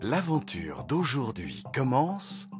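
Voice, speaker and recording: An actor narrating a French children's cartoon in a scripted storybook style. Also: male voice, mid-range voice, huge pitch swings, takes it slowly, over loud music. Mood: inviting. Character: storybook narrator introducing an adventure.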